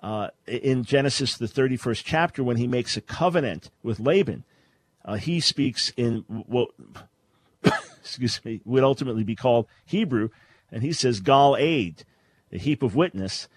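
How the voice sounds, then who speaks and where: A man's voice: mid-range voice, animated, normal speed, no room sound. A man hosting a call-in radio show, explaining Bible languages in a scholarly way with frequent restarts. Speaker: radio talk show host.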